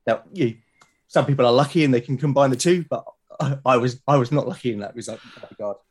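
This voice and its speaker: Middle-aged guy from south london, southern english accent, kinda hesitant, medium pitch, just chatting about his past. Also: fast, husky. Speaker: interview guest, artist. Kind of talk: casual conversational storytelling.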